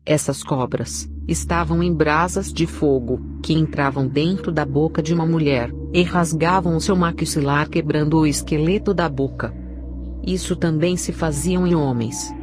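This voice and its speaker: A woman's voice: low-pitched, normal speed, noisy background. A woman with a Brazilian accent narrating a scripted, dramatic religious testimony. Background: prominent music.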